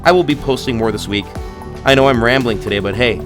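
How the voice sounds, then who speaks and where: A man's voice: medium pitch, music in the background. A young man hosting a casual stock update, rambling and restarting often, congested and losing his voice. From Buffalo, New York area. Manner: rambling, restarts often, reads quotes haltingly. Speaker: stock channel host.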